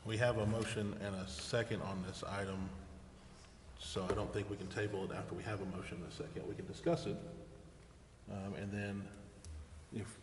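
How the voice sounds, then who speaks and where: American male, official presiding over civic business, monotone delivery, roomy recording with clear noise. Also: on the low side, moderate pace. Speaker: presiding mayor at a council meeting. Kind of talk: formal meeting procedure. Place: council chamber. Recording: noisy echoey hall, far from mic.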